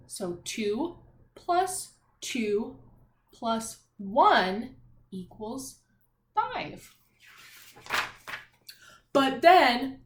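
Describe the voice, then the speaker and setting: A woman elementary teacher leading a read-aloud math lesson for young children, speaking in an encouraging, singsong, questioning way. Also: a little high. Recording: echoey room.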